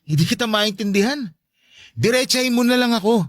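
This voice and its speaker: A man playing a touchy but soft-hearted stepfather in a radio drama, with an emotional delivery. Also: extremely high pitch, fairly quick, dry recording, studio quality. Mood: exasperated, defensive. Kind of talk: Tagalog radio drama acting.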